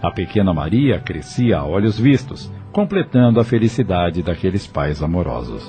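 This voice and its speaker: A man's voice: deep voice, big pitch swings, normal speed. A man with a Brazilian accent narrating a dramatic audio novel from a script. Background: soft music.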